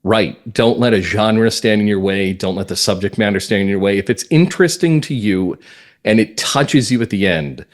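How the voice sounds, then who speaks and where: Low-pitched American man, reflective and emphatic. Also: moderate pace. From northern Massachusetts, US. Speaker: guest playwright and comedy writer. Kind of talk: conversational podcast interview.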